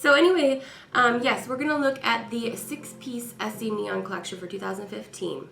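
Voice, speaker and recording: A woman's voice: a little high, moderate pace, gravelly, sharp tone, slight background noise. A woman vlogger giving a chatty, rambling product review while stuffy and congested from a cold. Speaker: beauty vlogger. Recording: echoey room with faint music.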